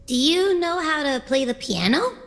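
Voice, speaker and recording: A woman playing an excitable, friendly young female adventurer in a video game, with a bright, youthful voice and an expressive manner. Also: high voice, moderate pace. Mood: curious, playful. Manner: expressive, exclamatory.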